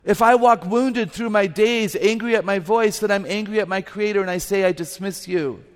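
A woman with an unusually low voice gives a lively, humorous talk to a live audience through a microphone. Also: normal speed. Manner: lively, humorous, emphatic, laughs at herself. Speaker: guest speaker and author. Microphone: microphone on a stage. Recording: live talk with long hall reverb.

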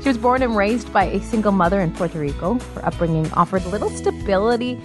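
A woman talk show host reading a polished, measured introduction of her guest. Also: mid-range voice. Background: music bed.

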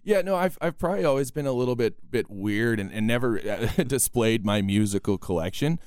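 A young man with a baritone voice, a country singer being interviewed, who talks with many restarts and keeps asking "right?". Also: animated, brisk, a little mellow, clean tone, no echo, studio quality. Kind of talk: casual interview talk.

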